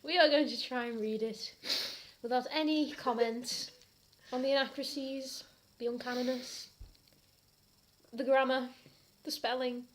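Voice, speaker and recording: A woman's voice: high voice, fairly even intonation, a little slow, pauses often, slight background noise, rough recording. A British woman co-hosting a comedy reading show, talking casually to camera with mock seriousness.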